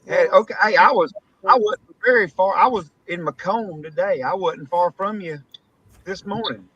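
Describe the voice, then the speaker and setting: A man, bantering in an animated way in a casual group video chat. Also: high voice, moderate pace, muffled recording. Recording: crowd chatter behind.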